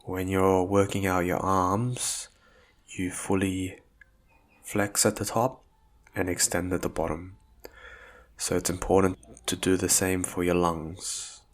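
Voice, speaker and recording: A man guiding a breathing meditation as a physiotherapist, speaking in measured, soothing phrases with calm instruction. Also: low voice.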